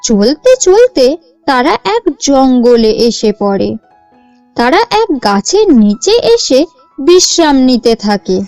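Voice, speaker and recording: Slow, high-pitched woman storyteller. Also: sing-song, darkish tone, no room sound, soft background music. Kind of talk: scripted story narration for a cartoon.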